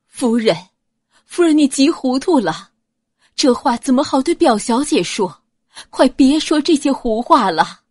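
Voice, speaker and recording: A woman's voice: high voice, expressive, unhurried, darkish tone, husky, no room sound, studio quality, voice pushed up, exaggerated voice. A woman voicing an anxious, deferential female household servant in an audiobook, speaking urgently and respectfully. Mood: anxious urgency. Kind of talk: audiobook character acting.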